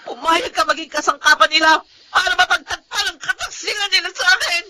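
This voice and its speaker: A woman's voice: very high voice, fairly quick, pushed and bright, full character voice. An actress playing a bitter, wounded woman out for revenge in a Filipino melodrama, with anguished, emphatic delivery. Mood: anguished, accusing. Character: bitter, wounded, vengeful woman betrayed in love.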